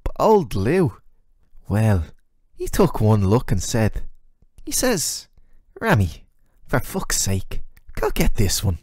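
A man's voice: lowish voice, wildly swooping pitch, takes it slowly, long pauses, darkish tone, husky, no room sound, clean studio sound, heavily acted. A man voicing a flirty, sardonic, ageless male demon who teases the listener in casual, slangy, intimate roleplay audio. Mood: wry, joking.

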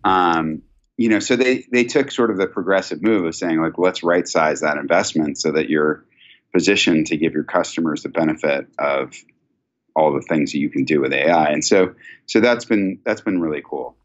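A middle-aged man answering business questions conversationally as an executive guest, thoughtful and halting, over a video call. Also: rumbling low. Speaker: company chief executive, guest. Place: indoor office, video call. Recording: dull video call audio.

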